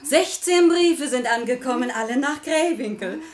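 An actress playing a chatty, naive housemaid in a theatrical radio comedy. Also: female voice, sits high, animated, moderate pace, a little hiss or hum. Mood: chatty, eager. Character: chatty, simple young housemaid.

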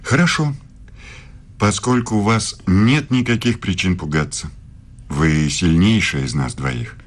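Deep, imperious male professor. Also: a little slow. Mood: teasing, courtly. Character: self-assured middle-aged German philosophy professor, courtly and imperious. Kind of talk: radio play acting.

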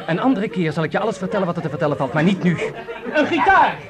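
Flamboyant romantic young charmer. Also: male voice, high voice, sing-song, fast. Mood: boisterous, commanding. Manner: theatrical, impulsive, smooth-talking. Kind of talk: Dutch radio play acting. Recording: radio play with crowd behind.

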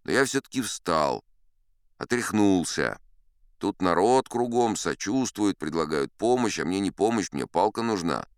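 An actor playing a boastful, seasoned sea captain, telling tall tales in a wry, conversational audiobook style with dramatic pauses. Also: male voice, low voice, animated, clean tone, no room sound, clean studio sound. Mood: animated recounting. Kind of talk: theatrical first-person audiobook storytelling.

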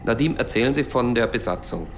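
An actor playing a serious, formal male adviser of about forty in a German radio drama, with a deadpan delivery. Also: medium pitch, brisk, a little hiss or hum, lightly acted.

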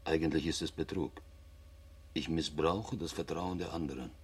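An actor playing a brooding, guilt-ridden astronaut and secret agent, confessing introspectively in a radio play. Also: male voice, very deep voice, normal speed, husky, full character voice. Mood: brooding, guilty.

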